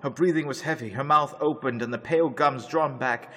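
Male radio-drama narrator; measured and husky, with a reverberant room. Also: bright, forceful tone. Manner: low, measured reading of a journal. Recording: long reverb, faint music.

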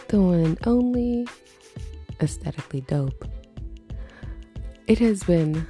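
A young woman hosting her casual solo podcast, sounding congested and stuffy, rambling and laughing at herself as she talks. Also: warm tone. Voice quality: low husky morning voice. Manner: rambling, laughs often, self-mocking. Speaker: podcast host.

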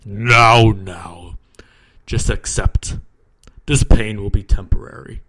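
A man's voice: fairly low pitch, very noisy. A man voicing a towering robed cult leader who speaks coolly and commandingly, as part of a horror story he reads aloud. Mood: cold menace. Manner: cool, measured, ominous.

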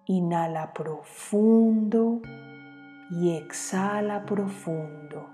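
Female meditation guide, soft dark tone, calm short phrases with long pauses, music underneath. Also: mid-range voice. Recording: long reverb, music behind.

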